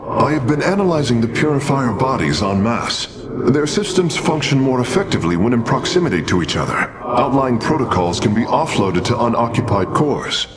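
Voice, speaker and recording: An actor playing an analytical alien engineer in a video game, earnest, precise and explanatory. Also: male voice, mid-range voice, very animated, normal speed, heavy background noise, close to an everyday voice. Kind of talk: dramatic video game cutscene acting.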